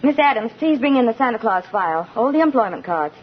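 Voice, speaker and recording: A woman acting a brisk, no-nonsense businesswoman and protective mother, crisp, firm and polite, in 1950s radio drama style. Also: on the high side, animated, natural voice. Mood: businesslike. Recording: dull, noisy 1950 radio recording.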